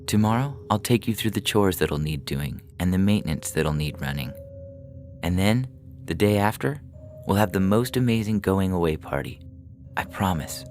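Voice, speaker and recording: A man's voice: sits low, animated, moderate pace, a little mellow, pitched above the performer's own voice. A man voicing a devoted mother in a science fiction story, tender and reassuring but firm, hiding her dread. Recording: music behind the voice.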